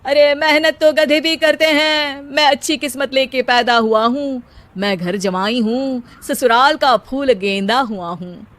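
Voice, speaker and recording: A woman's voice: high-pitched, unhurried, fairly bright tone. A woman voicing an idle, cocky young husband who declaims theatrically in rhymed couplets. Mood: self-pitying, boastful. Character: idle, cocky young husband obsessed with silly rhyming poetry.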